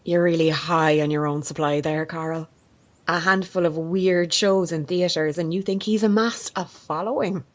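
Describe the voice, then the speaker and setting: An actress playing a blunt, sceptical reporter in an audio drama, sarcastic and confrontational. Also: female voice, fairly low pitch, steady tone, unhurried, lightly acted. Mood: scornful. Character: defiant, sardonic female reporter, sceptical and blunt.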